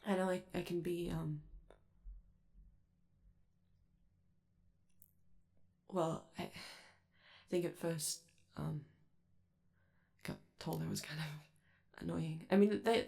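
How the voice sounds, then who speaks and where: A woman playing a friendly, awkward young male robot in a soft ASMR roleplay, with a creaky voice and a hesitant, rambling, apologetic delivery. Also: lowish voice. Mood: gentle, earnest.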